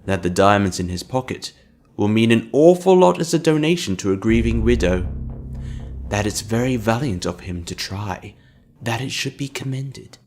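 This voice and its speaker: A man narrating a scripted dramatic audio story in a measured, grave and deliberate manner. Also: on the low side, lively intonation, darkish tone, faint music behind.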